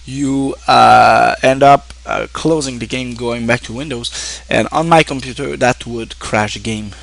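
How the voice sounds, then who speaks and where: A French-speaking man from Quebec talks to his viewers in English with a Québécois French accent. His mid-pitched voice has a gravelly fry. He stops, restarts and fills gaps often as he goes. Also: fairly even intonation, fairly bright tone, rough recording. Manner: halting, with many fillers and self-restarts. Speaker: streamer. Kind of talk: casual thank-you talk to viewers.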